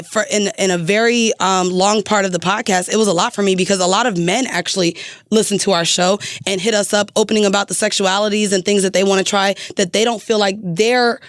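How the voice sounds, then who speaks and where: A woman's voice: mid-range voice, dry recording, studio quality. An American woman talking casually as a podcast guest, frank and emphatic, swearing freely and repeating herself to press a point.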